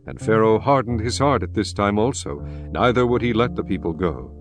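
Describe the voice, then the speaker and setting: A man narrating scripture in a solemn, measured, scripted style. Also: on the low side, animated. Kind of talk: scripted dramatised Bible narration. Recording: music behind narration.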